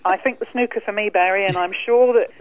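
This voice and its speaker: A woman's voice: mid-range voice, fast. A New woman calling in as a bridge event organiser, upbeat and chatty with a self-mocking humour. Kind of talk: cheerful phone interview. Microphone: telephone. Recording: tinny phone line.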